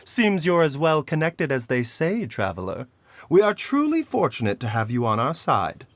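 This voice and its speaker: A man playing a calm, scholarly male healer in a video game, speaking with measured, formal courtesy. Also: fairly high pitch, no room sound. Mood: composed.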